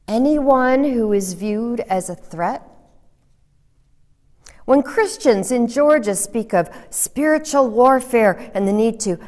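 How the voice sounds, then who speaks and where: A middle-aged woman pastor giving an earnest sermon, sometimes dropping to a hushed whisper for emphasis. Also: medium pitch. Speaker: Lutheran pastor. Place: church chancel, indoors. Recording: long hall reverb in a church.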